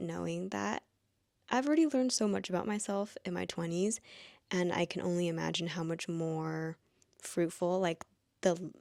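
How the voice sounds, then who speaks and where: Late-twenties woman rambling to camera, lowish voice with vocal fry, lots of likes. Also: a little mellow, no room sound, studio quality. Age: late 20s. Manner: rambling, many "like" fillers. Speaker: vlogger. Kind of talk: reflective casual monologue.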